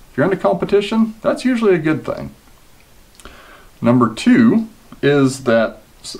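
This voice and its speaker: A man in his thirties with a low voice talks easily about rifle gear to a camera set up on his table. His delivery is relaxed and conversational, dotted with ums and you-knows. He's recorded in a small indoor gun room. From Kansas, USA. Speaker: competition shooter and channel host. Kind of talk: casual gear talk to camera.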